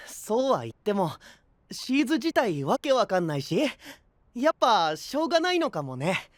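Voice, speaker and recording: A man's voice: high-pitched, big pitch swings, normal speed, no echo. An actor playing an easygoing, friendly young man with a light, casual manner in an anime audio drama. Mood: wistful, resigned.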